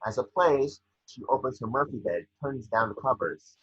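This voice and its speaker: A man's voice: mid-range voice, lively intonation, normal speed, fairly bright tone, husky. A man reading scripted scene descriptions as narrator, stumbling now and then. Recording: echoey, muffled home microphone.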